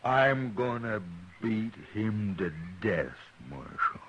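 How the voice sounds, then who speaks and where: A man's voice: on the low side, very animated, slow, a bit bright, muffled recording, slight background noise, heavily acted. An actor playing a brutal male outlaw on a 1950s radio western, with menacing speech and coarse frontier grammar. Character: brutal vengeful male outlaw, touchy about being laughed at. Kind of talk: 1950s radio drama acting.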